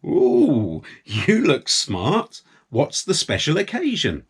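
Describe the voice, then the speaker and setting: A man's voice: a little high, huge pitch swings, slow, gravelly, slightly rough, voice pushed up, heavily acted. A man voicing a friendly male village painter in a children's story, cheery and teasing.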